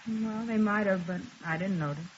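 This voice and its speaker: An actress playing a polite, chatty apartment manager in a radio crime drama, answering in a slightly hesitant, conversational way. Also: female voice, mid-range voice, normal speed, close to an everyday voice. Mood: obliging. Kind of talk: 1950s radio drama acting. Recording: muffled old radio recording, faint noise.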